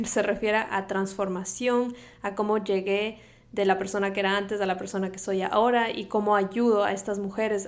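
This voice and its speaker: A young woman with an Ecuadorian accent from Quito, hosting her own podcast in a chatty, upbeat way, laughing often and slipping English words into her talk. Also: medium pitch. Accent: Ecuadorian Spanish from Quito. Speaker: podcast host and health coach. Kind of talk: solo podcast monologue.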